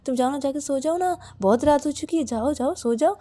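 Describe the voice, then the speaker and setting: A woman's voice: high-pitched, fairly even intonation, moderate pace, darkish tone, pitched above the performer's own voice, lightly acted. Woman acting a stammering, timid young newlywed. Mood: panicky pleading. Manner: heavy nervous stammer, pleading repetition. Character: timid, panicky, frightened young female newlywed. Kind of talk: acted dialogue in narrated audio story.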